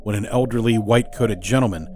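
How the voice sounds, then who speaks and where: A man's voice: fairly low pitch, fast, warm tone. A man narrating a first-person horror story as a storyteller. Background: music score.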